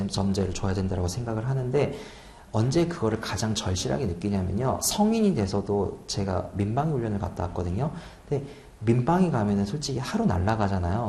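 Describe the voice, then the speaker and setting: A man in his twenties, an exam prep lecturer, chatting casually and laughing at himself during a lecture. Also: on the low side. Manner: chatty, self-deprecating, laughs often. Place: lecture room. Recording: echoey room, faint hum.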